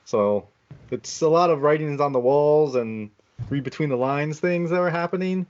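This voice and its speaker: A man's voice: on the high side, animated, moderate pace, a little mellow, lo-fi sound. A man chatting casually and informally as a podcast co-host. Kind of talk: casual chat.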